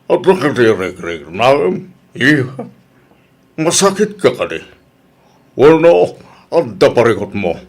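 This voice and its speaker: An actor playing a concerned, affectionate middle-aged father in a radio drama, gravelly and expressive, with a Filipino accent. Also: mid-range voice, a little slow, pauses often. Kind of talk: Ilocano radio drama acting.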